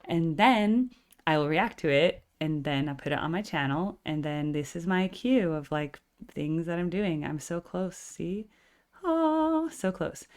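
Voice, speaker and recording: Woman in her forties hosting a reaction channel: a low, full, operatically trained voice and loose chatter studded with "like". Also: animated, unhurried, darkish tone. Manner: chatty, constant filler "like". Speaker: music reaction channel host. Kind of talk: casual reaction chat.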